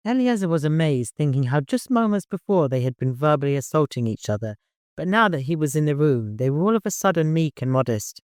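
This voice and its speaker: A man's voice: a little high, animated, brisk, clean tone, dry recording, studio quality. A man reading scripted fiction as an audiobook narrator.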